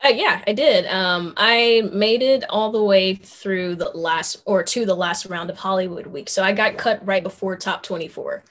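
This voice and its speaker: A young woman from Memphis, a musician guest telling stories in a relaxed chat, with lots of ums and you knows. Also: mid-range voice, normal speed, few pauses. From Memphis, Tennessee, USA. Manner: chatty storyteller with many ums and you knows. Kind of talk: casual interview chat.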